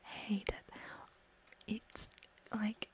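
Slow whispering British woman. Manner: soft whisper, slow deliberate repetition of words. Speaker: ASMR creator. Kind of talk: ASMR whispering.

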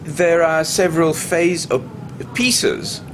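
A man's voice: fairly high pitch, fairly even intonation, slow pace, crowd noise. Man adding a comment from the audience.

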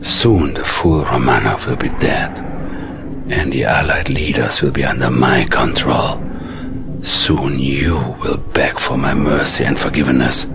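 A menacing mind-controlling game villain. The voice is rock-bottom low and slow, ominous and commanding, over loud music. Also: male voice, steady tone, creaky, heavily acted. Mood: gloating menace.

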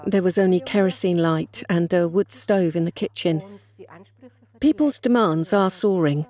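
An older woman who runs a restaurant speaks in a measured, reflective way. She is recorded on a lapel mic in a wood-panelled dining room. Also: lowish voice, dark tone. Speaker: restaurant owner. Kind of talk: interview. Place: indoor wooden restaurant.

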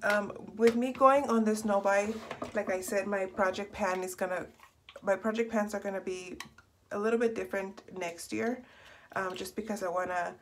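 A young woman, hoarse from a cold and slightly raspy, chatting in a rambling, self-correcting way while doing her makeup in a small bedroom. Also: mid-range voice, fairly even intonation, moderate pace, slight background noise. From Texas. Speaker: beauty vlogger. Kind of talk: casual get-ready-with-me chat. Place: small bedroom in front of a door.

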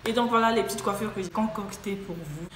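A woman's voice: medium pitch, fast talker, roomy sound, loud music behind the voice. A young woman with a cold, chatting upbeat to her viewers in a hair tutorial. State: has a cold. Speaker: beauty vlogger. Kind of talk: casual hair tutorial talk to camera. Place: small room indoors.

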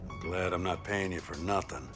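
A man voicing a gruff, calm middle-aged agency director in a video game, terse and dryly authoritative. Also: low-pitched, moderate pace, over music, close to an everyday voice. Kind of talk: video game cutscene acting.

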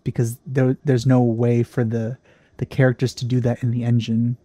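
A man giving casual, musing let's play commentary on a game, in a halting way. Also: medium pitch, flat delivery, mellow.

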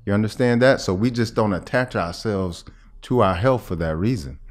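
A man's voice: on the low side, normal speed. A middle-aged American man speaking conversationally as a podcast guest, explaining his points and checking that the listener follows. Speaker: podcast guest, health expert. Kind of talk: conversational interview. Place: small indoor room at a table. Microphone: podcast microphone on boom arm.

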